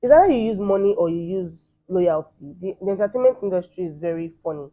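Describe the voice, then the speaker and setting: A Nigerian man in the entertainment field giving quick, emphatic opinions in a casual interview. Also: sits high, lively intonation. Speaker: guest, entertainer. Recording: thin phone-like sound.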